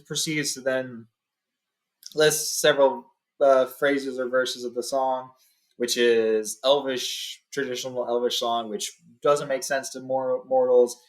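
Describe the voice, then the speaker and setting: A man's voice: medium pitch, level tone, slow pace. Man, mid-range voice, read-along host, informal storytelling, reading aloud.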